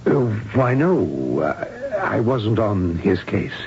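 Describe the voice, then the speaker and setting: A muffled, slightly hissy radio drama recording. A surgeon talks in a low, gravelly voice, formal and deliberate, with a condescending and evasive manner. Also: male voice, big pitch swings, crowd noise, heavily acted. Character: smooth-talking, evasive, patronizing male surgeon at a clinic. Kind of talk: 1970s radio drama acting. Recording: dull, noisy 1970s radio recording.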